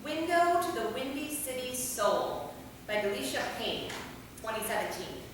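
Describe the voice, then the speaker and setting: Casting: woman as earnest, sensible heist companion, high voice; staged reading in a very echoey hall. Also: expressive, a little slow. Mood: reading aloud. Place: theater stage, recorded from a distance. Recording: very echoey hall, far mic, noisy.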